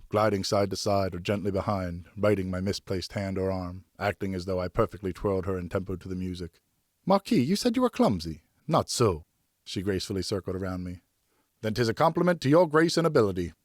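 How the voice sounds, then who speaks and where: Guy narrating an audiobook, lowish voice, first person novel read, very dry sound. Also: no room sound. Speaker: audiobook narrator, professional voice actor.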